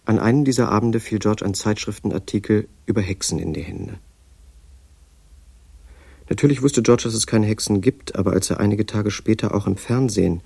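An actor playing a literary narrator, telling a strange story in measured scripted radio drama style. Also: male voice, fairly low pitch, vocal fry, dark tone, breathy edge, no room sound.

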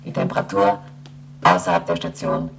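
Emotionless space-station computer, very deep, heavily gravelly, formal announcements, radio drama. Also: female voice, little pitch movement, moderate pace, a little hiss or hum. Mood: flat, procedural. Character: lunar station computer reciting status reports, emotionless. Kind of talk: radio play acting.

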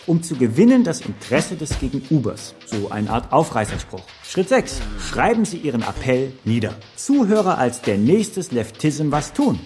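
An actor playing a slick management trainer in a parody corporate tutorial, promoting and instructing in buzzwords. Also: male voice, medium pitch, big pitch swings, normal speed, few pauses, darkish tone, faint music behind, natural voice. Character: slick male management-seminar announcer full of buzzwords.

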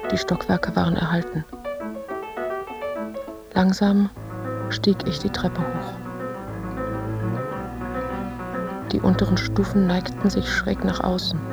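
An actress playing a dazed, haunted woman recounting nightmarish wartime visions in a slow, detached, dreamlike monologue. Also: fairly low pitch, gravelly. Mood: detached, watchful. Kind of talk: literary audio drama monologue.

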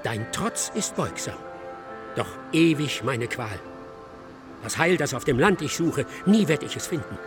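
An actor playing an excitable, self-absorbed male scholar in a German radio drama, lecturing insistently and theatrically. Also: medium pitch, unhurried, over music. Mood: solemn, declamatory. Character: excitable, self-absorbed male scholar expounding his dark ghost-ship thesis.

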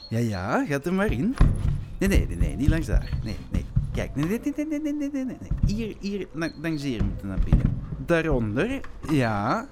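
A man's voice: fairly high pitch, huge pitch swings, moderate pace, background noise. An actor with a Flemish accent playing a bickering, clumsy policeman besotted with his dog, in a naturalistic comedy radio play. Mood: eager coaxing. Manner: repetitive, muttering, colloquial.

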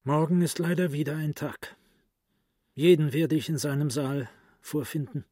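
A male actor plays a grandiose psychiatrist lost in dark private thought. The voice is mellow and breathy, slightly high for a man, and moves with slow, intense deliberation. It is a close, dry radio drama monologue. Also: fairly even intonation, no echo, close to an everyday voice. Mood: bitter, grandiose. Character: brooding, grandiose psychiatrist voicing his inner thoughts. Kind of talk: literary radio play monologue.

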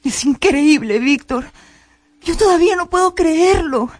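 An actress playing a grieving, devoted young cousin pleading for justice in a radio drama, with a breathy voice. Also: female voice, high voice, lively intonation, measured pace, a little mellow, no room sound. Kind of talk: Spanish-language radio drama acting.

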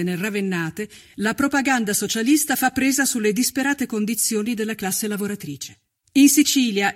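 A man reading scripted documentary narration in a solemn, measured and dramatic way. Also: high voice.

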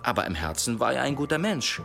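A man in a radio play acting a courteous traveller who tells a sly tale in a polite, unhurried way. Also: medium pitch, big pitch swings, gravelly, bright, forceful tone, full character voice. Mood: sly storytelling. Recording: music under the voice.

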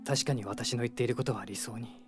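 An actor playing a young, idealistic prince in an anime-style radio drama, speaking with polite, earnest formality. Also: male voice, mid-range voice, steady tone, fairly quick, over music, natural voice. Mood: subdued, reflective.

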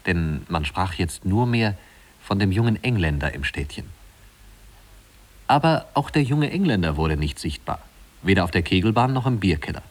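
A man with a very low voice narrates an old radio play. He delivers scripted literary prose as a storyteller. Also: sing-song, moderate pace.

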